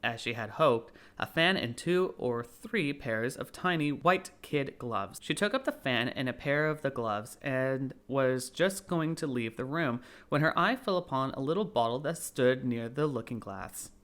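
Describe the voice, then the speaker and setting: Male audiobook narrator, medium pitch, reading storybook prose. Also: lively intonation, a little slow, hardly any pauses, fairly bright tone.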